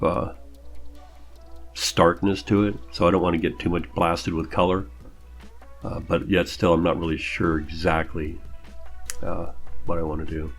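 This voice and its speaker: A man giving a casual art demo voice-over, rambling and thinking aloud with hesitant phrasing as an artist talking about his own work. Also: rumbling low. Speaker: artist narrating own drawing video.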